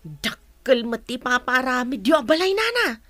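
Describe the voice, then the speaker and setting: A woman's voice: sits high, big pitch swings, a little slow, fairly bright tone, dry recording, soft background music, exaggerated voice. A woman with an Ilocano Filipino accent acting a village daughter trading gossip with her mother in a radio drama. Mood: curious, gossipy.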